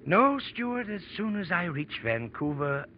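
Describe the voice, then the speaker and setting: A jittery fugitive in a 1940s radio play, evasive and protesting while he passes as a businessman. His pitch jumps around a lot, and the recording is dull with clear background noise. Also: very animated, measured pace. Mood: edgy, insistent. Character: nervous, evasive fugitive man posing as a businessman. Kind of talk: 1940s radio drama acting.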